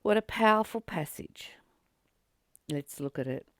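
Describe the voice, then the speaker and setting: A spiritual teacher reading aloud and reflecting on a text in a deliberate, earnest way, pausing between short phrases. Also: mid-range voice, expressive, no echo, studio quality.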